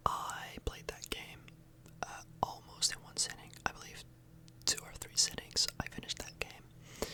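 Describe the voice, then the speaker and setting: A woman whispering softly and breathily in a casual, rambling ASMR video, often hesitating and correcting herself. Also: rough recording. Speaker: ASMR YouTuber, host. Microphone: microphone a little further away than usual.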